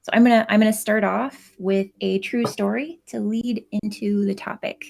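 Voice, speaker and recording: Soft-spoken creaky-voiced woman. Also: medium pitch, steady tone, normal speed. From United States. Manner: soft-spoken, hesitant with many fillers. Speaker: guest comic creator. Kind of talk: casual storytelling talk. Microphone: video call.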